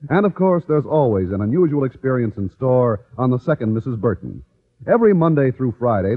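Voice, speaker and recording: A male American announcer delivers a scripted vintage network radio promo. His husky voice moves with lively intonation. The old recording is narrow and tinny, like a telephone line. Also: mid-range voice.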